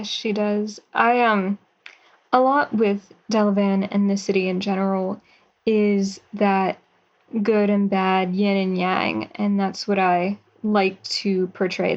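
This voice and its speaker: Slow-talking thoughtful woman, muffled sound. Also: medium pitch, little pitch movement. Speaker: writer and actor, guest. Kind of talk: reflective interview answers.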